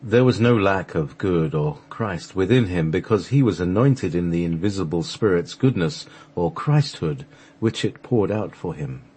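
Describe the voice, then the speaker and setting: Low, husky man narrating solemnly. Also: lively intonation, darkish tone. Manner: measured, solemn phrasing. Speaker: radio show host and narrator. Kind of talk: scripted narration of a radio play.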